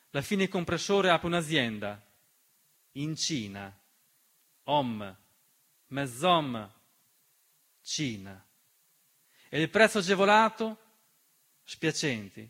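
A man performing a dramatic political theatre monologue in an emphatic, rhetorical manner with deliberate repetition. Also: fairly high pitch, a bit bright. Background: piano accompaniment.

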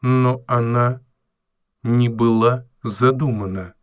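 Man playing a slow, polite robot servant. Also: mid-range voice, steady tone, clean tone, no room sound, clean studio sound, close to an everyday voice. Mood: grave, polite. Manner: formal, deliberate, emotionless. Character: polite, measured robot servant with unshakable calm logic. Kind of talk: audio drama acting.

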